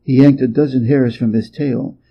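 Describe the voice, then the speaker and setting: A man from Hawaii reading a storybook tale as an expressive narrator. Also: medium pitch, normal speed, gravelly, husky, dull audio. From Hawaii, United States.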